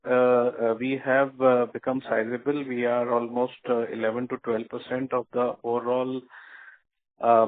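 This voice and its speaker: A tinny, narrow phone line carries an Indian man's mid-pitched voice. He answers as a company executive on an earnings call, halting often and filling his pauses as he goes. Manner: hesitant, halting delivery with frequent filled pauses. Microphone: telephone conference line.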